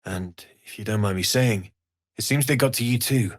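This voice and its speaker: An actor playing a kindly, earnest young blacksmith in a fantasy video game, with a husky, breathy voice and an understated manner. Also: male voice, on the low side, normal speed, close to an everyday voice. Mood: earnest, helpful. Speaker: blacksmith and trader. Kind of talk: video game character dialogue.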